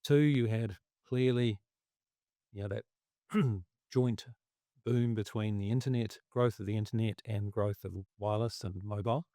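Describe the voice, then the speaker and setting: A man, losing his voice, talking through markets in a casual, halting way and clearing his throat often. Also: lowish voice, steady tone, dark tone, dry recording, clean studio sound. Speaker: investment commentator.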